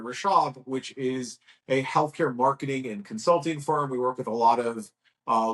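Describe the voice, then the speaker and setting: A man in his thirties, a guest in a casual interview, talking in a frank, rambling way and telling lively stories. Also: mid-range voice. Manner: rambling, frank, lively storytelling with acted quotes. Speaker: guest, agency owner.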